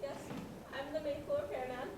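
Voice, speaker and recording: A woman plays an earnest, lovelorn repairman in a stage comedy, high in pitch and melodramatic. The voice carries from far off in a very echoey, noisy hall. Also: sing-song, normal speed. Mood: earnest, defensive. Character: lovelorn earnest repairman in love with washing machine. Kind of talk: student stage comedy acting.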